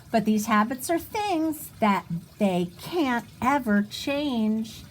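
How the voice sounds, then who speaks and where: A woman with a high voice reads a rhyming children's book aloud. Her pitch swings widely in a sing-song delivery, and she takes her time, adding small asides for her young listeners. A light ambient noise sits behind her. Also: rough recording. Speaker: children's storybook reader.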